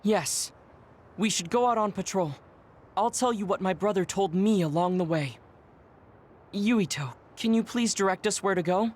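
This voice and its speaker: A woman playing a calm, serious young officer in a video game, polite and matter-of-fact. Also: medium pitch, moderate pace, lightly acted. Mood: composed. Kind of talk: English video game voice acting. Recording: clear music underneath the dialogue.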